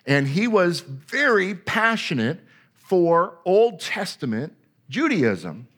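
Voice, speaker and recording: Male preacher, slightly high-pitched, emphatic explainer of scripture. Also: very animated, slow, fairly bright tone. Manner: emphatic, repeats words for stress; reads scripture aloud then explains it. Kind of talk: sermon teaching on scripture.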